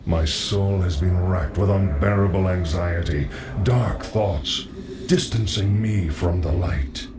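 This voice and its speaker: A man playing the noble, sorrowful spirit of an old paladin in a fantasy game, speaking solemnly and formally. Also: low voice. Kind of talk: fantasy game cutscene acting. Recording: noisy game ambience.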